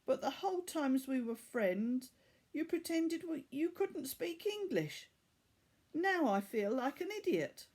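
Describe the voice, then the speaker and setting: A woman's voice: high voice, sing-song, slow, darkish tone, pitched above the performer's own voice, heavily acted. A woman voicing a frightened, headstrong girl in a children's audiobook, emphatic and reproachful. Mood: hurt, indignant. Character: frightened, indignant girl, bound captive, headstrong.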